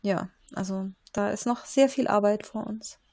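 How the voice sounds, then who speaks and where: A woman's voice: medium pitch, normal speed, mellow. A woman in a casual panel discussion, speaking earnestly with some fillers.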